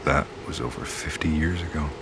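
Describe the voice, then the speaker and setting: A man playing a grave, portentous storyteller, narrating an audio drama in a measured, ominous manner. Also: very deep voice, background noise. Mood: grave, foreboding. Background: music.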